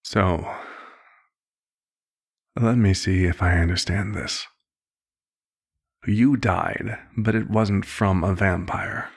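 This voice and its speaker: A man playing a concerned, paternal vampire doctor in an ASMR roleplay, speaking formally and deliberately in a husky voice. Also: low-pitched, fairly even intonation, exaggerated voice. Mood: probing, measured.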